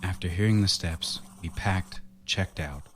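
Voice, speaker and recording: A low-pitched, uneasy husband, played by a man in a radio horror play. He sounds like someone confiding in a diary and brushing off fears he half believes. The delivery stays natural and conversational. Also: a little slow, a bit bright, slight background noise. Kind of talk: radio horror drama acting with diary narration.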